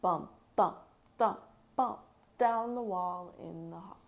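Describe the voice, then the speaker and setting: A woman's voice: lowish voice, slow, slight background noise. A woman hosting a children's book read-aloud, mixing storybook narration with casual commentary. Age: adult mother.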